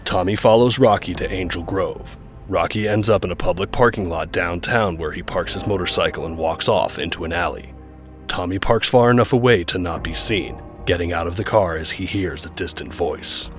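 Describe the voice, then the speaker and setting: A man's voice: deep voice, normal speed. A man reading scripted narration as the narrator of an audio drama. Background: music.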